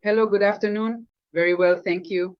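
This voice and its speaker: A woman guest in an AI-dubbed voice, giving short, soft-spoken answers about receiving a healing session. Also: medium pitch, steady tone, moderate pace, no room sound. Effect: AI voice dub. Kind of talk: interview answers. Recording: muffled lo-fi recording.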